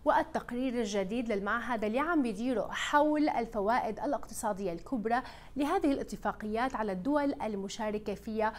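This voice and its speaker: Syrian woman in her 30s hosting a tv show, levantine accent, really fast, bit high. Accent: Levantine Arabic. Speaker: host. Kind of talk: television interview hosting. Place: studio.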